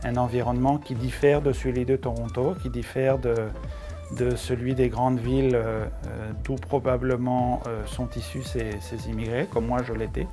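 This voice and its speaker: A man from Mexico City whose native language is Spanish, a finance director speaking slowly in an interview and searching for words. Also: mid-range voice, flat delivery, mellow, faint music behind. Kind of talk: interview testimony.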